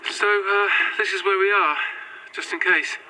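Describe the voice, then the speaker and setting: Casting: anxious young male employee, high-pitched, stammering, polite, found-footage horror drama, faint background noise. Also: fairly even intonation, measured pace, bright, forceful tone, exaggerated voice, rough recording. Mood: weary, uneasy. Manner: hesitant, stammering, apologetic. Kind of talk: naturalistic found-footage audio drama acting.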